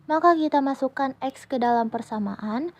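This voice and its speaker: A woman's voice: high voice, moderate pace. Young woman reading math steps. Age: young adult. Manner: steady, step-by-step reading of formulas. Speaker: university student presenting.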